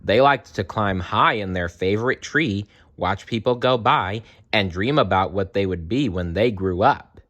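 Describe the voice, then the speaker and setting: A storyteller reading aloud to children in an expressive way, with dramatic pauses and playful asides. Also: deep voice, lo-fi sound.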